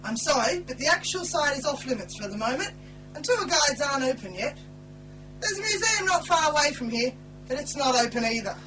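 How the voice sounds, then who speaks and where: A woman's voice: high voice, big pitch swings, a little fast, recorded from a distance, over music, poor audio. A woman playing an officious guard in an amateur radio play, firm but apologetic. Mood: stern, officious. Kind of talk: hobby radio play acting.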